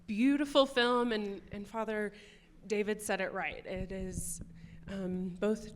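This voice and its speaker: A woman's voice: mid-range voice, fairly even intonation, slow pace. A woman hosting a post-screening Q&A, speaking in an appreciative way with some fillers. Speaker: interviewer, programming director.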